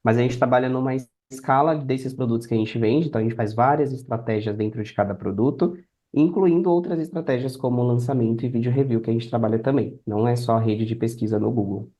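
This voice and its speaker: Mellow Brazilian man explaining in conversation. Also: medium pitch, fast talker. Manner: explanatory, anecdotal. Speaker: podcast guest, affiliate marketer. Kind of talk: conversational interview answers.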